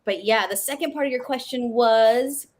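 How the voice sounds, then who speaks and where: A woman's voice: a little high, moderate pace, slight background noise. Easygoing woman actor musing. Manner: easygoing, thinks aloud with fillers. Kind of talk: casual interview chat.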